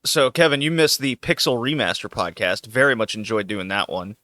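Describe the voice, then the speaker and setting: A man hosting a casual podcast with a bright, forceful voice, laughing easily through loose chat. The sound is dry and close, with no room around it. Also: mid-range voice, fairly quick, no echo. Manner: loose, joking, laughs often. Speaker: podcast host.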